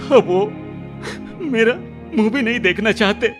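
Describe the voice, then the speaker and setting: A penitent younger brother in a radio play. He is humble and sorrowful, slow and halting in delivery, with clear music underneath. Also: sits high. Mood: remorseful, wounded. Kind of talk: Hindi radio drama acting. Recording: music behind the voice.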